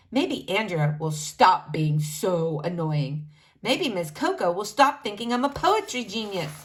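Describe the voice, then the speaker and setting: A woman reading a storybook aloud to children in an expressive, animated narrating style. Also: mid-range voice. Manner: addresses young listeners directly, animated.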